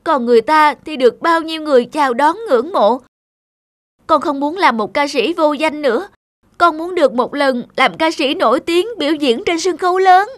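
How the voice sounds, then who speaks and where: A woman's voice: high-pitched, moderate pace, no echo, studio quality, lightly acted. A woman voicing a weary young male singer who complains in a plaintive way. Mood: pleading.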